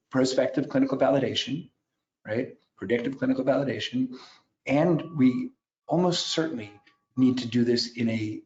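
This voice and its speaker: A man's voice: medium pitch, a little mellow. Man presenting in a roomy, dull recording. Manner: technical, discursive, often adds "right?". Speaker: webinar panelist. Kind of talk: explanatory presentation. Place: indoors on an online webinar. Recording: roomy, dull webinar audio.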